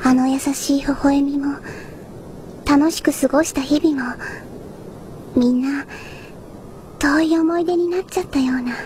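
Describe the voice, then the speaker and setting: An actress playing a sweet, troubled teenage girl in an audio drama, soft, hesitant and wistful. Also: high voice, steady tone, measured pace, long pauses, slight background noise. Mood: wistful, confused. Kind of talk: anime-style audio drama acting.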